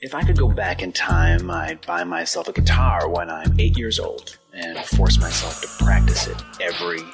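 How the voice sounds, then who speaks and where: A man's voice: sits low, very animated, moderate pace, gravelly. A man, a guest musician, delivering a comic musical monologue over guitar.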